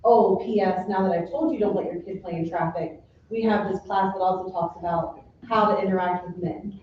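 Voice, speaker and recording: A woman's voice: medium pitch, fairly even intonation, brisk. A woman explaining things informally as a participant in a community meeting. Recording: very echoey, muffled, distant, faint noise.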